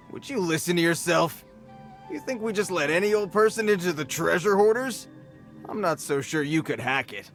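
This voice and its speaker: Cocky thug leader, mouthy and swaggering, animated delivery over faint game music. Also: male voice, high voice, normal speed. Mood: mocking. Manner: brash, mocking street slang. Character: gruff male treasure-hoarder bandit leader. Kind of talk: game voice acting.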